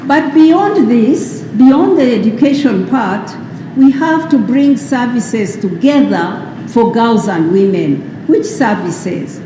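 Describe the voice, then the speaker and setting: A woman's voice: fairly high pitch, animated. Woman speaker at a public ceremony, emphatic and rhetorical, noisy echoing PA. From Uganda. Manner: slow, emphatic, rhetorical questions. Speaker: speaker at a World AIDS Day event. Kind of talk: formal public speech. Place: large hall at a public ceremony.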